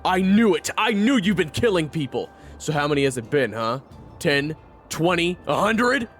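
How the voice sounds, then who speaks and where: Man acting gruff, hot-headed monster fighter, wildly swinging pitch. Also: big pitch swings, moderate pace, a bit bright, faint music behind. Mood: flustered, angry. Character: Raven, hot-headed, easily flustered male monster fighter, taunting. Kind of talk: audio roleplay acting.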